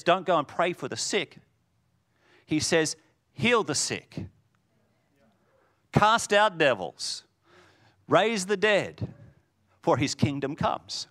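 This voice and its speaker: Booming preacher. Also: male voice. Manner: rousing, swings from loud declarations to hushed lines. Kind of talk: sermon.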